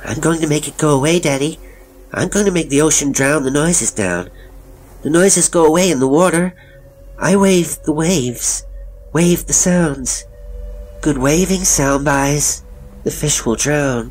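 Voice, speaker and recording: A man's voice: a little high, unhurried, slight background noise, pitched above the performer's own voice, lightly acted, rough recording. A man voicing an eerie, disturbed small boy with a childlike sing-song delivery in a dramatic story reading. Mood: frightened, insistent. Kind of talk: dramatic audiobook character acting.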